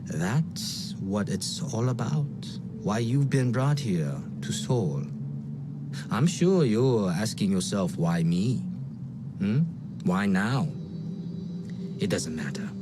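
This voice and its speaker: A man's voice: fairly low pitch, lively intonation, noisy background. An actor playing a cryptic, rambling game character who stammers and drops into whispers as he explains a grand scheme, with music behind him. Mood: intense. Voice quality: halting, stammering, then whispery and intense. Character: cryptic, rambling male conspirator explaining chaos theory. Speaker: game character briefing the player. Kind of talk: voiced game cutscene.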